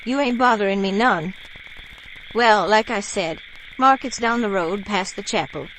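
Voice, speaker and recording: A woman plays a reassuring country neighbor in a radio drama. She has a Louisiana bayou accent and a mid-pitched voice, with faint swamp ambience behind her. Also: unhurried, a bit bright, a little hiss or hum, natural voice, poor audio. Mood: friendly, folksy. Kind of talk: audio drama acting.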